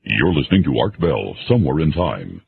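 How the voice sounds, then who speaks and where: A man's voice: very deep voice, big pitch swings, brisk, gravelly, phone-quality sound. A man reading a scripted show ident as a radio announcer. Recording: noisy band-limited radio broadcast.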